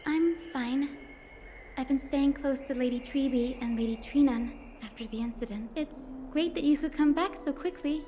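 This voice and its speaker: An actress playing a gentle, caring young female healer in a fantasy game, soft-spoken and earnest. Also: high-pitched, normal speed, a little hiss or hum, natural voice. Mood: sorrowful, concerned. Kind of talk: dramatic video game voice acting.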